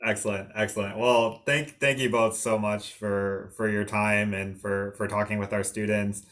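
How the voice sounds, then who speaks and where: A man's voice: on the low side, little pitch movement, normal speed, a bit bright. A man hosting the session, closing it with polite, repeated thanks on a video call. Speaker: admissions host. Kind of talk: closing remarks.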